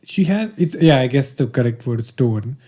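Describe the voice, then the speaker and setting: A man's voice: medium pitch, lively intonation, normal speed, warm tone. A man, hosting a casual podcast chat, playful and teasing, with many fillers and restarts. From India. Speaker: podcast host.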